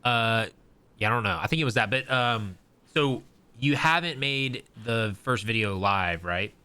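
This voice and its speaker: A man's voice: medium pitch, lively intonation, a little slow, bright, forceful tone, smooth. A young man co-hosting a gaming podcast, chatting casually and rambling with many fillers and restarts. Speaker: podcast co-host.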